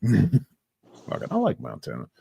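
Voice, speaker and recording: A man's voice: lowish voice, wildly swooping pitch, slow pace, vocal fry, warm tone, a little hiss or hum. A man hosting a livestream with casual reaction commentary, mocking, incredulous and profane in his delivery. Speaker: livestream host.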